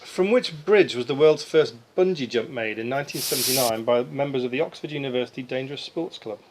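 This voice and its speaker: Fast-talking male quizmaster, faint background noise. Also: medium pitch. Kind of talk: reading pub-style quiz questions aloud, adjudicating. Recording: slightly noisy quiz room recording.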